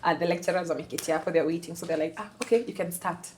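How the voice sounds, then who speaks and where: A woman's voice: lowish voice, racing, a little hiss or hum. A young woman with a Kenyan accent, telling stories as a podcast guest and switching freely into Swahili slang. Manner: lively storyteller, switches between English and Swahili slang. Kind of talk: casual chat.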